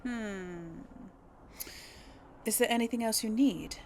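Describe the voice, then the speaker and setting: A woman's voice: fairly high pitch, slow pace, a little hiss or hum. A woman hosting a gaming video, musing to herself and reading dialogue choices aloud in casual role play. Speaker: gaming video host playing in character.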